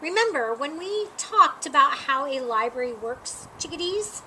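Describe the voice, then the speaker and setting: A woman's voice: high-pitched, expressive, moderate pace, sharp tone, slight background noise, rough recording. A woman voicing a kindly female schoolteacher in a storybook, guiding a young class affectionately. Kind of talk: storybook character read aloud.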